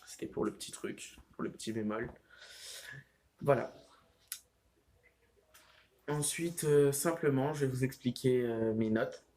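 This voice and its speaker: A teenage boy chats casually into the camera at home. He rambles, stacking fillers and restarts as he goes. His voice sits at a middle pitch. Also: a little slow, long pauses, mellow. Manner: rambling, heavy use of fillers like "genre" and "euh". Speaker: vlogger. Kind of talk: casual vlog chat.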